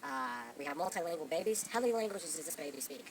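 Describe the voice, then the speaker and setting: A boastful Mexican kingpin, put on by a man in a mock Mexican accent, rambling grandly and hammering listeners with rhetorical questions. His lively pitch rises and falls as he goes. He sits distant from the mic outdoors among trees, with clear noise behind. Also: very fast, lightly acted.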